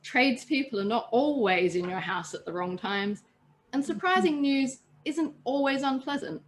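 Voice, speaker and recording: A woman's voice: high voice, animated, normal speed, slight background noise. Woman reading a story aloud. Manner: narrating with occasional stumbles and self-corrections. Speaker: storyteller and podcast host.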